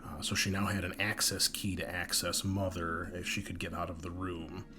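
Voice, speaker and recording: Low-voiced man narrating a tabletop game. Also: flat delivery, normal speed. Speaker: game master and host. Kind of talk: recapping a tabletop roleplaying game session in narration. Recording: clear background noise.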